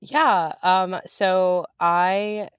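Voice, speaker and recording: A woman with a slightly low voice talks casually as an interview guest. Her manner is informal and a touch self-deprecating. The recording is dry and free of room sound. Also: steady tone, extremely slow, clear, resonant tone, dry recording. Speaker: podcast guest, writer and director. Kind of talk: casual chat.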